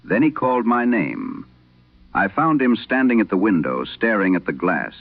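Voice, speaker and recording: Very deep, gravelly man telling horror stories. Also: no room sound. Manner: grave, deliberate, dramatic phrasing. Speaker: host and narrator of a horror audio drama. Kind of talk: vintage radio horror storytelling, reading a letter. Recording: narrow-band vintage radio sound.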